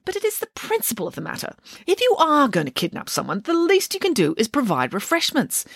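A woman's voice: high voice, huge pitch swings, a little fast, creaky, dry recording, clean studio sound, pitched above the performer's own voice, full character voice. A woman voicing a bold, mischievous nanny who talks in an indignant, proper way. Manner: indignant, proper, grandly self-assured.

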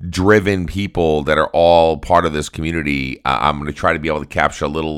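A man with a very deep voice, hosting his own podcast in a rambling, upbeat monologue. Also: fairly bright tone. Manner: rambling and upbeat, with frequent restarts. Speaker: podcast host. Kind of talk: casual solo podcast monologue.

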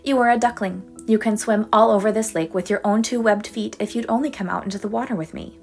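A woman voicing a patient, loving mother duck who speaks in a gently teasing, fond way for a storybook. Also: mid-range voice, fast, gravelly, soft background music, close to an everyday voice.

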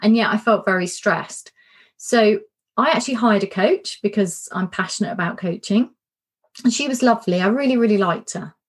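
A middle-aged woman talks through a workshop in a relaxed, candid way, often repeating words for emphasis. Her voice sounds a little worn, as if she's starting to lose it. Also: medium pitch, normal speed, breathy edge. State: slightly losing her voice. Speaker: coach and podcast host. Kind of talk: casual spoken workshop talk.

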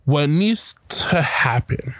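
A man telling a personal story about a protest in a casual, halting way, with many fillers and restarts. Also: fairly high pitch, expressive, no room sound. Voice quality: loud voice.

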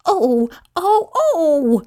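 Woman as a plaintive, high-voiced little sea rat. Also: lively intonation, takes it slowly. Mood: panicked. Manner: plaintive and indignant. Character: naive, fretful, easily offended little male sea rat. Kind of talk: children's storybook character voice.

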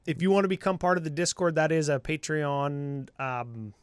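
Man, podcast co-host, slightly raised pitch, scratchy cold voice, dry close sound. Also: steady tone, moderate pace, clean tone, no room sound. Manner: laughs often, upbeat, trails off. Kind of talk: casual chat.

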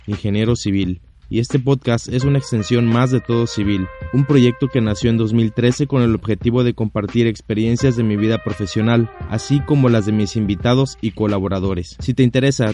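A man from Mexico, an engineer hosting a podcast, introducing the show and asking his guest questions in a relaxed, friendly way. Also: on the low side. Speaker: podcast host. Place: at home, remote call.

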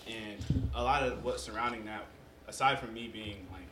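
Young man, first language Yoruba, low voice, rapid casual storyteller, noisy echoey room. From United States. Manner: relaxed storytelling, frequent fillers. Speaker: podcast guest. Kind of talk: casual conversation. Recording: echoey room with background noise.

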